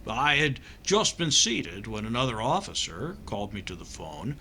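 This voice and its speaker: Man playing dithering, nervous radio-comedy doctor, animated delivery. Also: lowish voice, moderate pace, slight background noise, exaggerated voice. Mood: flustered. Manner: hesitant, stammering, dryly comic. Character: nervous, dithering American doctor and husband in comic thriller. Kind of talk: old-time radio comedy acting.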